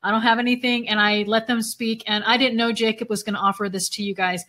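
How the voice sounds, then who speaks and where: A woman hosting a casual live video interview, talking with a bright, forceful edge. Her voice is worn and going hoarse, and she chats loosely, with lots of fillers. Her pitch sits a little high. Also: little pitch movement, fast talker. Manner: chatty, laughs often, many fillers. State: losing her voice. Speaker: event host interviewing a speaker.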